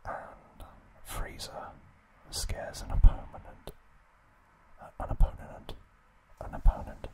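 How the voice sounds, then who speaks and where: Slow, whispering male ASMR narrator. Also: poor audio. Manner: slow, hushed, unhurried trivia recap delivery. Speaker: ASMR video host.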